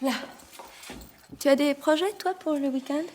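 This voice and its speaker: An actress playing a teasing, sensible young second wife in a French radio play. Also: female voice, high-pitched, normal speed, darkish tone, lightly acted. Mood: light, wry.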